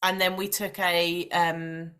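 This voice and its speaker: A woman's voice: lowish voice, little pitch movement, slow pace, fairly bright tone, clear, resonant tone. A woman speaking as an interview guest, telling personal stories in a conversational, explanatory way. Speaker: podcast guest, maternal mental health coach. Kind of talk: personal storytelling in casual interview.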